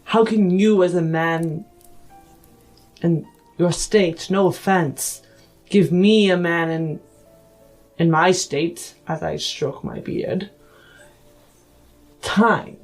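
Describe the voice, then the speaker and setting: A woman voicing a bearded, wealthy male magnate who is polite but skeptical, speaking in deliberate, formal phrases. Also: lowish voice, a little mellow, soft background music, low-quality recording. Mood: skeptical, challenging.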